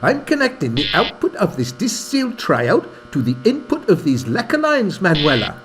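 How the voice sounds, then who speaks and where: An actor playing an earnest, excitable village man and narrator in a scripted comedy radio play, with a theatrical manner. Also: sits high, normal speed, faint music behind, exaggerated voice.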